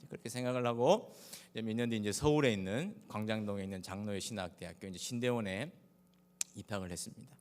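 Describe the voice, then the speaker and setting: Man, Gyeongsang-accented Korean preacher. Lowish voice, halting sermon delivery, long hall reverb. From Daegu, South Korea. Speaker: pastor. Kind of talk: sermon with personal anecdotes. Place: large reverberant church hall.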